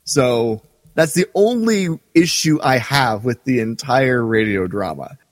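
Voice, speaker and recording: A male podcast co-host with a medium-pitched voice, recorded dry. He talks casually and haltingly, stressing his points by repeating them. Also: lively intonation, no room sound, big-room sound. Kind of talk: casual discussion and commentary.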